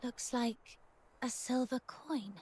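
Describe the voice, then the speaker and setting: A woman voicing a composed, practical young hunter in a video game, measured and matter-of-fact. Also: on the high side, steady tone, a little mellow, slightly rough. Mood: calm, businesslike. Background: background music.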